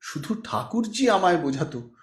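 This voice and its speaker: An audiobook portrait of a worn-down, reproachful middle-aged wife, acted by a man. The voice is high and plaintive, tearful and pleading, with wide swings of pitch in an echoey room. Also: sing-song, moderate pace. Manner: pleading, nagging complaint. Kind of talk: audiobook character acting.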